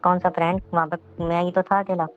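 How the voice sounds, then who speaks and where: A man acting a flustered, hungover young boyfriend in a phone-call drama, questioning repetitively, his voice pitched up and sped up. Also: level tone, fairly quick. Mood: confused. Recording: muffled lo-fi call audio. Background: music.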